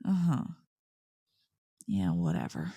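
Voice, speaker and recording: A woman's voice: extremely low pitch, very animated, takes it slowly, dark tone, husky, no room sound, clean studio sound, heavily acted. A woman playing a snarky tsundere young succubus who secretly cares, teasing and exasperated in an ASMR roleplay. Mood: grudging concern. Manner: teasing, exasperated, sighing, grudgingly soothing.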